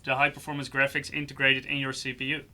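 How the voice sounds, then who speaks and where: Very bright, forceful male livestream host. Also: mid-range voice, completely flat delivery, fast. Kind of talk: casual livestream interview.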